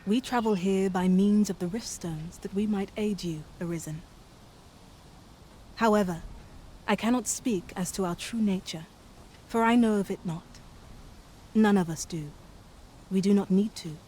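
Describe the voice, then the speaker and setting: Solemn female servant from another world for a fantasy game, acted by a woman: mellow, formal, deferential, over background ambience. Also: mid-range voice, measured pace, long pauses, a little hiss or hum, close to an everyday voice, rough recording. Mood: solemn, courteous. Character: otherworldly female Pawn, solemn, dutiful servant guide. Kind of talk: scripted fantasy game dialogue, archaic formal English.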